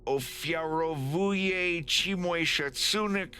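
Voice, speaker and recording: A man voicing a desperate man who pleads for his life in halting, stammering repeats. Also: a little high, sharp tone, a little hiss or hum, voice pushed up. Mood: slow, halting appeal.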